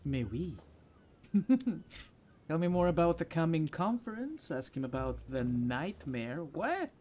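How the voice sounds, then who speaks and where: A man's voice: a little high, sing-song, unhurried, darkish tone, a little hiss or hum. A man giving casual, theatrical and exclamatory commentary while playing a video game.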